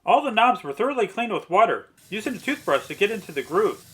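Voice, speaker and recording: Man, high-pitched voice, crisp bright edge, scripted how-to narration, methodical phrasing. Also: very animated, fast, faint music behind. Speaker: narrator of a radio restoration video.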